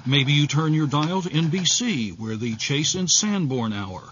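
A man with a husky voice, presenting scripted documentary narration. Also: a little high, animated, unhurried, a bit bright, muffled recording, a little hiss or hum.

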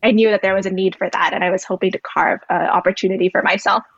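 A woman's voice: mid-range voice, fairly even intonation, quick pace, slightly rough, no room sound. A young woman from Indonesia, a podcast guest, talking at length in an earnest, thoughtful way. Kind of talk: podcast interview. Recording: dull lo-fi dry recording.

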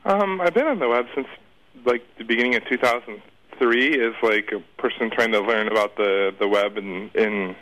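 A man's voice: lowish voice, moderate pace, a bit bright, rough recording. A man answering as an interview guest in a casual, informal and somewhat hesitant way.

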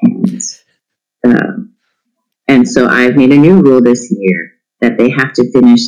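A man's voice: mid-range voice, a little slow, a little mellow, rough recording. A middle-aged man, chatting casually as an interview guest in a candid, irreverent, self-deprecating way. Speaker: interview guest, homeschooling parent. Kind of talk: casual chat.

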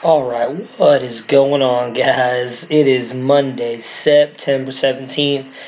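Guy with a shot hoarse voice doing his sports podcast, kinda monotone, muffled mic. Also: medium pitch, takes it slowly, faint music behind. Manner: excited, rambling fan talk. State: hoarse from screaming. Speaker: podcast host. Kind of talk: casual sports podcast talk.

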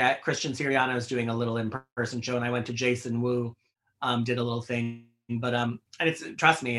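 Middle-aged fashion editor, bright tense tone, rambling chatty answers with lots of fillers. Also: male voice, mid-range voice. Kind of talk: interview answers.

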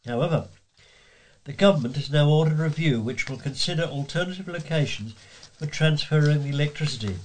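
A man's voice: a little high, fairly quick, slight background noise, poor audio. A man reading scripted local news as a volunteer newsreader.

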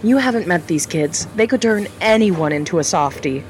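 A woman's voice: lowish voice, lively intonation, normal speed, darkish tone, slight background noise, natural voice. A woman playing an earnest, nervous new facilitator. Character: eager young woman, new foundation facilitator. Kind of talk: audio drama acting.

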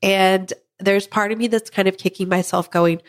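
A woman in her thirties hosting a podcast with her husband, her voice hoarse from a head cold, chatting casually and laughing now and then. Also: medium pitch, steady tone, normal speed. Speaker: podcast host.

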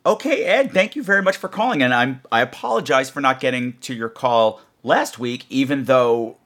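A male podcast host talks casually to his listeners. His pitch moves around in a lively, animated way, and his manner is playful and campy, given to exclamations. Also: moderate pace. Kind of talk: casual chat answering listener voicemails.

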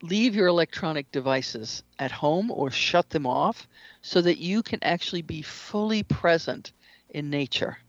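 A woman's voice: sits low, no echo. A woman speaking as an interview guest, explaining things in a conversational, instructive way. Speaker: interview guest, shamanic practitioner and author. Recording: slightly dull lo-fi audio.

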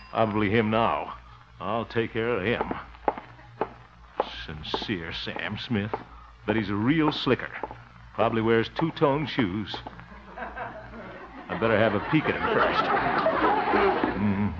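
An actor playing a suspicious but vain and easily flattered grown son in a 1950s radio comedy, blustery and given to chuckles and exclamations. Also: male voice, on the low side, close to an everyday voice. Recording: noisy, dull old radio recording.

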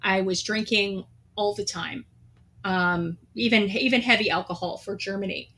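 A woman's voice: mid-range voice, steady tone, moderate pace, bright, forceful tone. A middle-aged woman telling her own story in an earnest, reflective way, with a few light laughs. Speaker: guest, veteran. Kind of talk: candid personal storytelling.

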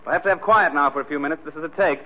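High-pitched bossy film director, acted by a man. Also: racing, a little hiss or hum, lightly acted. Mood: brisk. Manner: commanding, impatient. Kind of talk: old-time radio drama acting. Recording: tinny vintage radio, bustling background.

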